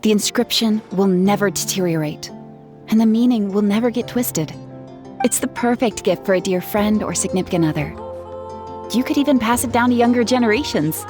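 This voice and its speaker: A woman's voice: medium pitch, moderate pace, close to an everyday voice. A woman voicing a young female adventurer in a game, upbeat and teasing, with a playful salesy flair. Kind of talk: game cutscene dialogue. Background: game music.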